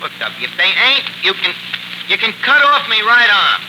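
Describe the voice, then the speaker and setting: Through heavy noise on a 1940s radio recording comes a tavern manager. His pitch is high and swings widely as he wisecracks in slangy, mangled English. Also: very animated, moderate pace. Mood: pleading. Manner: malapropisms, slangy ungrammatical speech. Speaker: host. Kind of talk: 1940s radio comedy acting.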